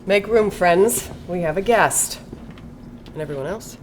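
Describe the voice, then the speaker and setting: An actress playing a charismatic, commanding woman who leads a cultish circle, speaking in a measured, solemn, poetic way in an audio drama. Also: medium pitch, slight background noise, lightly acted. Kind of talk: scripted podcast drama acting.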